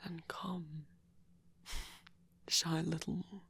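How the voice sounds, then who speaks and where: A performer voicing a flirty, teasing male vampire in a hushed, breathy, intimate ASMR whisper. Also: medium pitch, flat delivery, drawn-out words, exaggerated voice.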